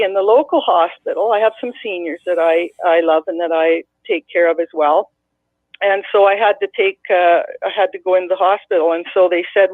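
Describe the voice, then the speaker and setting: A woman's voice: on the low side, dry recording. Blunt woman caller on a phone line. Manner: blunt, rambling, many restarts. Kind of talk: casual call-in storytelling. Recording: dry tinny phone line.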